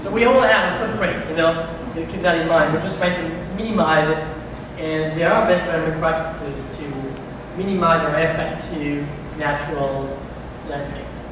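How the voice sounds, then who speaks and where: A man presenting at a public meeting, explaining in a halting way with many restarts and fillers. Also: on the high side. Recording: echoey hall from far off, noisy.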